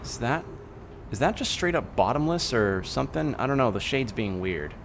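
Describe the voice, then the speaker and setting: A man streaming a game, thinking aloud in a relaxed, soft-spoken way and humming as he works things out. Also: medium pitch, moderate pace, vocal fry, a little hiss or hum. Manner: thinking aloud, musing with hums. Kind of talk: casual gameplay commentary.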